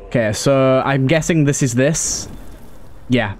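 A man's voice: medium pitch, takes it slowly, a little hiss or hum. A man giving casual let's play commentary as a gaming host, thinking aloud and often repeating his phrases. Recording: clear background game ambience. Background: video game ambience and effects.

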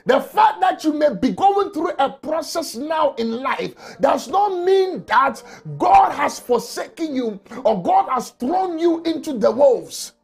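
A man preaching an emotional sermon from a small office on a lapel mic, often shouting and crying out. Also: very high voice, expressive, slow, creaky, soft background music. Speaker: pastor.

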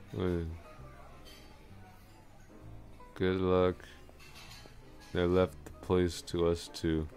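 A man playing a drunk, awkwardly smitten office coworker in an intimate ASMR roleplay, rambling, hesitant and trailing off in a slurred, gravelly voice. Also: sits low. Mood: confused, curious.

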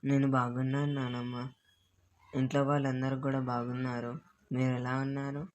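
A man's voice: mid-range voice, little pitch movement, moderate pace, darkish tone, muffled recording, a little hiss or hum, close to an everyday voice. A man playing a lazy, easygoing young son in a children's moral story. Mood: casual.

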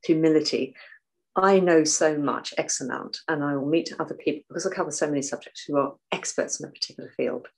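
Soft-voiced older woman, low pitch. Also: fast talker, poor audio. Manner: animated, waves arms while talking. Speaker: guest author and artist. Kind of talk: casual video call interview. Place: home room, indoors. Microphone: video call.

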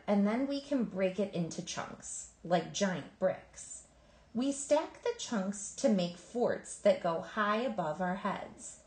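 A woman reading a children's picture book aloud in an expressive, emphatic storytelling manner. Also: medium pitch, roomy sound. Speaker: storybook reader.

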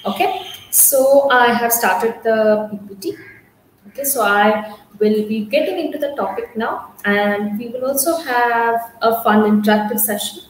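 A woman's voice: on the high side, measured pace. A young woman with an Indian English accent, teaching a live online lesson from a small room, chatty and reassuring, with many fillers. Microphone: laptop webcam mic on a video call. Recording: echoey room, faint background noise.

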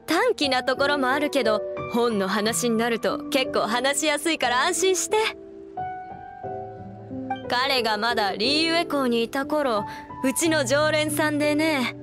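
A woman playing a brisk young female bookseller in an anime video game, casual and matter-of-fact. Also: high-pitched. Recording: background game music.

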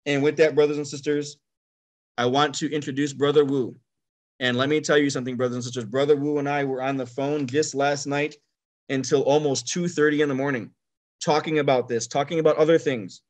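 Man, host, online bible teaching, excitable, fairly high voice, video call audio. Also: brisk. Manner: excited, repetitive, addresses listeners as brothers and sisters. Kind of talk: enthusiastic preacher-style introduction.

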